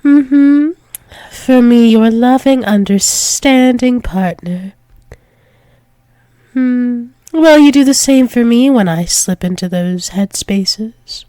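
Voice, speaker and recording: A woman's voice: on the high side, takes it slowly, warm tone, no echo. A woman voicing a doting, dominant dragon-wolf demoness lover in an intimate ASMR roleplay, teasing with pet names and dropping into whispers. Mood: teasing, tender.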